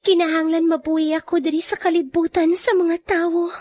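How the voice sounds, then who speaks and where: Mystical maiden turned mortal: extremely high pitch, gentle, sorrowful, Filipino Hiligaynon accent, radio drama. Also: female voice, little pitch movement, brisk. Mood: earnest.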